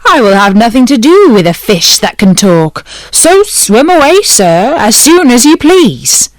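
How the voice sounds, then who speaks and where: A woman's voice: a little high, very animated, measured pace, no room sound, voice pushed up. Woman doing a meek old fisherman.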